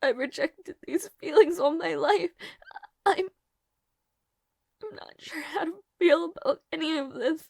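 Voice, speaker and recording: A woman playing a nervous, lovestruck young maid in a romantic audio roleplay, halting and emotional, putting herself down. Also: very high voice, dry recording, heavily acted. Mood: desperate pleading. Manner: halting, stammering, breathy pleading delivery.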